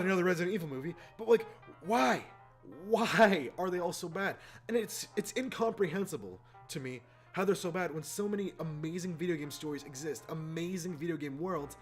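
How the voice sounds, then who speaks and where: A man in a casual, exasperated rant as a gaming video host, informal and sweary. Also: high voice. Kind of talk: casual opinionated rant to camera or mic. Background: background music.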